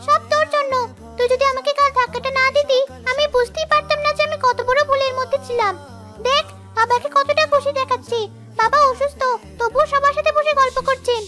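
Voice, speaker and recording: Very high, bright young girl voiced by a woman. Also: steady tone, brisk. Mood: happy, grateful. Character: earnest young schoolgirl in a moral cartoon story. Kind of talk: scripted cartoon dialogue. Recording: music behind the voice.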